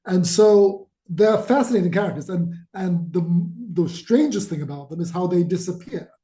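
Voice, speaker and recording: Mellow-voiced man in a roomy space. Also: high-pitched, normal speed. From Malaysia. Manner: enthusiastic, storytelling. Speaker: author, interview guest. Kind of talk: conversational interview. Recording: roomy echo.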